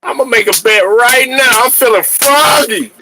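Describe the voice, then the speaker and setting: A man hosting a casual sports talk show, animated and trash-talking, often laughing and hollering. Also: very high voice, normal speed, vocal fry, slight background noise. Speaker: podcast host.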